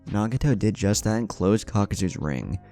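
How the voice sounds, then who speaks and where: Man, dramatic story narration, creaky low voice, lively swings in pitch, music underneath. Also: moderate pace.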